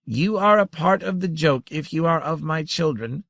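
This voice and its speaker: A man's voice: on the high side, animated, normal speed, vocal fry, fairly bright tone, no room sound, pitched above the performer's own voice, full character voice. A man voicing a tiny, ancient, giggling female creature who teases and chitters playfully. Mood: gleeful. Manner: tinkling, chittering, teasing.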